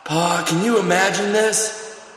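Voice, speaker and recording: Casting: male actor as a childlike, questioning grown boy, mid-pitched and slow, in a heavily echoing space. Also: steady tone, a bit bright, a little hiss or hum. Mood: timid, questioning. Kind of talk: surreal audio drama dialogue. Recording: very echoey reverberant hall.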